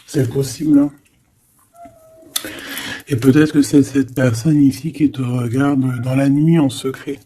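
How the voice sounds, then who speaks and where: A man's voice: mid-range voice, fairly even intonation, a little slow, long pauses, mellow. A man giving a casual, improvised tarot reading, speaking familiarly to the listener with hesitant, repetitive phrasing and frequent tag words. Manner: hesitant, repeats phrases, frequent "hein" tags, familiar address. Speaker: tarot card reader, host.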